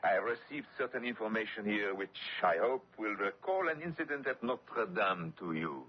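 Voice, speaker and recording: An actor playing a menacing inquisitor with mock courtesy and a pompous, insinuating manner in a radio drama. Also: male voice, fairly low pitch, expressive, moderate pace, husky. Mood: coldly insinuating. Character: sly, menacing male inquisitor with mocking courtesy. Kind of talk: old-time radio drama acting. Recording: dull, muffled old radio recording.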